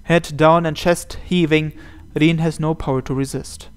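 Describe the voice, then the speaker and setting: A man's voice: on the high side, fairly even intonation, measured pace, clean tone. A man narrating a visual novel aloud as host, reading the scripted text.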